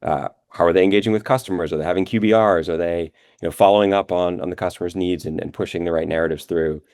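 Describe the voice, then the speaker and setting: A man's voice: deep voice, steady tone, fast, a little mellow, no room sound, studio quality. A man answering questions as a guest in a business interview, explaining things conversationally with frequent filler phrases. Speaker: interview guest, revenue operations executive.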